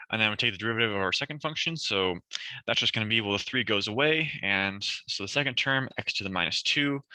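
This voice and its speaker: A young man tutoring a math review session, talking through problems step by step and correcting himself, his voice a bit worn as he clears his throat. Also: fairly low pitch, pushed and bright, dry recording, low-quality recording. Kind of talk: recorded review session lecture.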